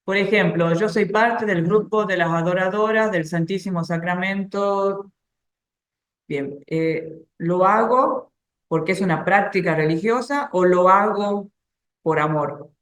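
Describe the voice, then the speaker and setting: A woman's voice: medium pitch, a little slow. Woman teaching in an echoey room. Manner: conversational, with everyday examples and soft laughs. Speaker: catechist giving a talk. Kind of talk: informal faith talk, teaching from a book.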